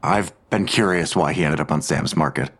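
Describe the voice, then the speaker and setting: Weary male investigator: low-pitched, curt, irritable, naturalistic audio drama dialogue. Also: normal speed, a bit bright, heavily acted. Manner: curt, gruff.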